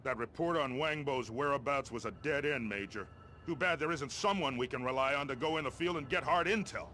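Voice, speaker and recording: An actor playing a gruff, blunt American army colonel with a wry, folksy streak in a video game. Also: male voice, medium pitch, a bit bright, slight background noise, natural voice. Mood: wry, weary. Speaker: army colonel, commander giving mission briefings. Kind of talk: video game character dialogue.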